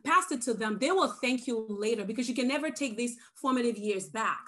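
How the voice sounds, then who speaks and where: A woman from Nigeria speaks on a webinar video call. She talks in an earnest, urging way, pressing her listeners to act. Her voice sits a bit high. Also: lively intonation, fairly quick, a bit bright. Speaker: webinar panelist. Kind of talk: passionate webinar talk.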